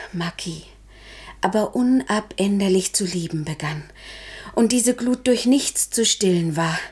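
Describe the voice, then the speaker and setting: An actress in a 1970s German radio play playing a sorrowful, dignified courtesan who speaks formally and solemnly, sometimes near a whisper. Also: female voice, medium pitch, a little mellow, lightly acted. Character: sorrowful, dignified courtesan confessing to her noble lover.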